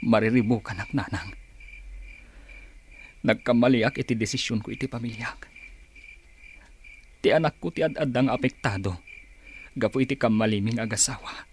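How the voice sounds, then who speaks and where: A man's voice: mid-range voice, moderate pace, long pauses, slight background noise, lightly acted. A man acting a regretful, troubled father in a radio drama, earnest and downcast. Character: regretful, troubled father, grown son of family.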